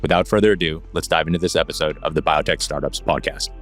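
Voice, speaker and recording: A man's voice: a bass voice, steady tone, fast talker, fairly bright tone, faint music behind. A man speaking as a podcast host and interviewer. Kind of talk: casual podcast interview with scripted intro.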